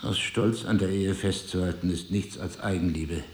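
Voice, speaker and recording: Low-voiced, remorseful husband played by an actor. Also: male voice, fairly even intonation, normal speed, roomy sound, natural voice. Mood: sceptical, puzzled. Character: remorseful, bewildered husband, resigned to leaving his wife. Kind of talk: intimate dialogue-driven audio drama.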